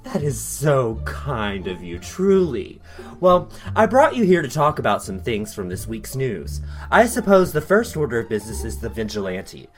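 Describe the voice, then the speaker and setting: A man's voice: medium pitch, big pitch swings, normal speed, faint music behind, lightly acted. Man playing a flamboyant, swooping TV host. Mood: probing, polished. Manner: theatrical, effusive. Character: flamboyant, gushing gossipy TV commentary show host. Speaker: talk show host. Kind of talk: acted talk show hosting in audio drama.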